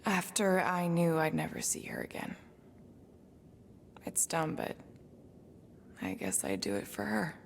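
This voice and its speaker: Guarded alien ex-assassin lady for a video game, low creaky voice, kinda halting and wistful, bit of bg noise. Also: huge pitch swings, exaggerated voice. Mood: sorrowful, vulnerable. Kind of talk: cinematic video game acting.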